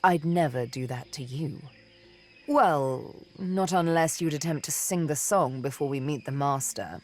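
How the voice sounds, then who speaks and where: A woman playing a seductive, vengeful elven assassin and former slave in a video game, speaking in a deliberate, theatrical, teasing manner. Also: low-pitched, a little mellow. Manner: deliberate, teasing, dramatic storytelling with pauses. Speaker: video game companion character.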